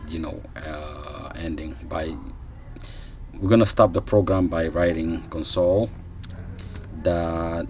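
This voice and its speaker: A man's voice: low voice, slight background noise. A man in his thirties from Somalia giving a casual coding tutorial, thinking aloud with many fillers and restarts. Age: 30s. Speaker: programming tutor. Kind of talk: screen-recorded coding tutorial.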